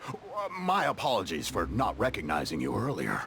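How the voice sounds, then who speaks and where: A man's voice: low-pitched, wildly swooping pitch, brisk, over music, full character voice. A man playing a polite, apologetic townsman in a video game, courteous and slightly hesitant. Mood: polite, grateful.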